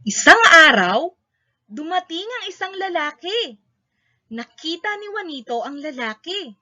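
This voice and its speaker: A woman's voice: extremely high pitch, big pitch swings, a little slow, pushed and bright. A woman with a Filipino accent reading a children's story aloud expressively, in short, emphatic sentences. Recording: dull, lo-fi recording.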